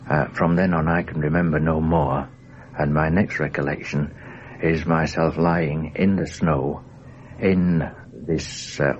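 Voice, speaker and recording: A man giving a hesitant, factual first-person account for a radio documentary, on a muffled, hissy 1960s recording. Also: a bass voice. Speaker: air crash survivor recounting his wartime experience.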